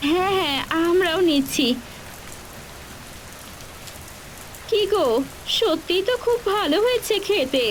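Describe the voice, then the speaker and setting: A practical village wife and mother in a folktale cartoon. Her voice is very high and exclaiming, with faint rain and hiss behind it. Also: animated, moderate pace. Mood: pleased. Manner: sharp-tongued, exclaiming, nagging. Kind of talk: Bengali fairy-tale cartoon dubbing.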